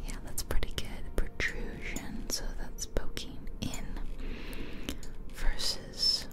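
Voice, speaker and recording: A woman whispering breathily and close to the mic, musing aloud in an ASMR gaming commentary. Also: slow pace. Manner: musing aloud, asking herself questions. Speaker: host of an ASMR gaming video. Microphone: close ear-to-ear ASMR microphone.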